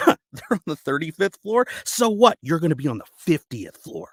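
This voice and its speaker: A man's voice: fairly high pitch, wildly swooping pitch, normal speed, no room sound, clean studio sound, voice pushed up. An American man performing a dramatic monologue as a scrappy, embittered lawyer urging someone on with emphatic intensity. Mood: fierce, defiant. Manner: urgent, emphatic, building in intensity. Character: scrappy, embittered male lawyer giving a defiant pep talk. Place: home basement sound booth.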